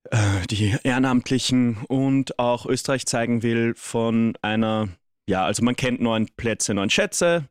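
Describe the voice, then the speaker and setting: Man, relaxed radio guest. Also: medium pitch, unhurried, clear, resonant tone, dry recording, studio quality. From Vienna, Austria. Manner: relaxed, joking, hesitant fillers. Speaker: actor, studio guest. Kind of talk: casual radio interview. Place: radio studio.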